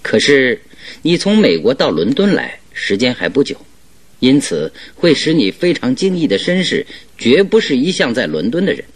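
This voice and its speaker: A man acting a shrewd, jovial, self-assured English detective in a Mandarin radio drama, explaining his deductions expansively with a slightly husky voice. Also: medium pitch, wildly swooping pitch, moderate pace. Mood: confident, explanatory.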